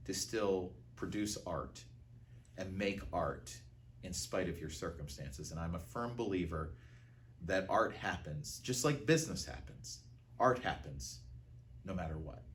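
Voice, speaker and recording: A man's voice: deep voice, a little hiss or hum. An American man giving a conversational interview account as a theater art director, telling it like a storyteller.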